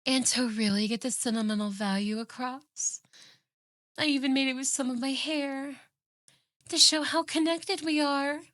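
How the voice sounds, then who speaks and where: Obsessive anime fox girl, played by a woman: high-ish, dry close sound, coaxing, boastful, theatrically devoted, ASMR roleplay. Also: fairly even intonation, moderate pace, a bit bright, no echo. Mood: pleading, possessive. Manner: doting, smug, coaxing, theatrical.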